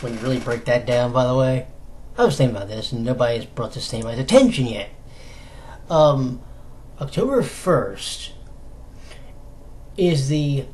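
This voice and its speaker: An American man giving casual fan news commentary as a solo video host, in a halting, conversational manner with rhetorical questions. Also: medium pitch, a little mellow. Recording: noticeable background noise.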